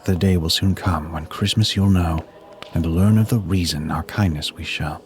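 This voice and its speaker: A man voicing a kind, welcoming young woman who speaks in gentle, reassuring rhyme. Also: low voice, steady tone, normal speed, soft background music, lightly acted.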